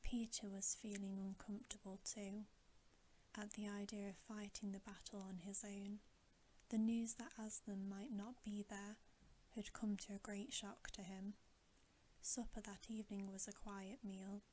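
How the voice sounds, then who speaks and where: A woman reading a bedtime story as ASMR in a breathy whisper, slow and hushed, with long gentle pauses. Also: medium pitch, level tone, a little hiss or hum.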